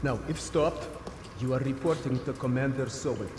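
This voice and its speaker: An actor playing a stern, officious Soviet intelligence officer in a video game. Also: male voice, medium pitch, natural voice. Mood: brisk instruction. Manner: stern, brisk. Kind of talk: video game cutscene acting. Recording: echoey hall with background noise.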